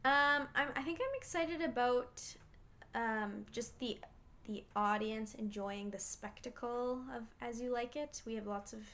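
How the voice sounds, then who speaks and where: A woman stage actor with a soft voice, answering interview questions hesitantly with many ums. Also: fairly high pitch, unhurried. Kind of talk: casual interview chat. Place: small trailer on an outdoor theatre site.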